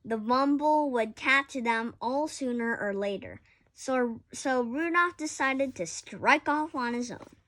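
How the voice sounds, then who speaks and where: Slow-paced woman reading a children's story. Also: sits high. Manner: expressive, halting with stumbles and corrections. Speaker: story reader. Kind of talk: children's picture-book read-aloud.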